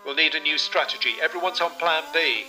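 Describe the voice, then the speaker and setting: An actor playing a calm male race engineer on team radio, giving clipped, businesslike calls. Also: fairly high pitch, fairly quick, over music, lightly acted, poor audio.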